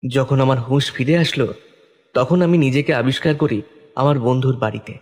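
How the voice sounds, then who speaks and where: A man's voice: medium pitch, normal speed. A man narrating a scripted first-person horror story in a dramatic style. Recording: loud music under voice.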